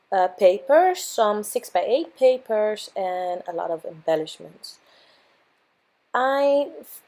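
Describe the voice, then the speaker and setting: A young woman with a hoarse, worn-out voice, casually narrating her craft process as a channel host, with frequent fillers and self-corrections. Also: a little high, darkish tone.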